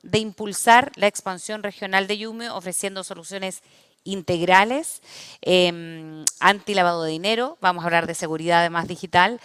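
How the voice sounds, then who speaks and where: A woman's voice: mid-range voice, fairly bright tone, clean tone, dry recording. A woman hosting a conference, introducing the next speaker with many restarts. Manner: halting, many fillers and restarts. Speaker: event host. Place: conference stage.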